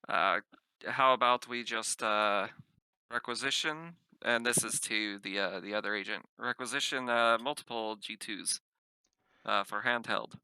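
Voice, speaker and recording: Gravelly, bright-voiced spaceship captain played by a man. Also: fairly low pitch, no echo, exaggerated voice. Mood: dryly skeptical. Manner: halting, clipped phrasing with dropped articles. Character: cautious, practical male spaceship captain, dryly skeptical. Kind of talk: improvised tabletop roleplay.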